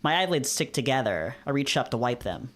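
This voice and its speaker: A man's voice: medium pitch, big pitch swings, a little fast, a bit bright. A man hosting a visual novel read-through, moving between narration and casual chat. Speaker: host of visual novel readings.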